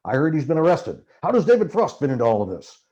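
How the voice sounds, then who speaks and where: A man voicing a gruff, profane, cynical journalist in an audiobook, brusque and haranguing. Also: on the high side, huge pitch swings, fast, darkish tone, lo-fi sound. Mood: probing, skeptical. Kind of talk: acted audiobook character dialogue.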